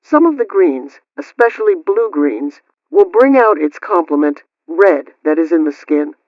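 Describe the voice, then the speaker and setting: A woman's voice: on the low side, big pitch swings, unhurried, no room sound. A woman playing a prim 1920s housekeeping host on the radio, reading scripted advice in a formal, instructive way through an old radio filter. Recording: dull, lo-fi old-radio sound.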